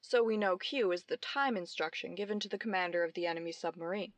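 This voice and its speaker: A woman's voice: fairly low pitch, fast, dry recording, natural voice. An actress playing a brilliant young woman detective, explaining her deductions precisely in old-time radio mystery style. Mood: patient, instructive.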